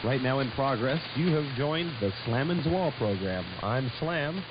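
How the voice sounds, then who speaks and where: Rambling male pirate-radio host, mid-pitched, casual, stumbling, heard through hissy shortwave. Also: lively intonation, measured pace, dull audio. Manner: rambling, hesitant with frequent stumbles. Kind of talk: casual talk show banter.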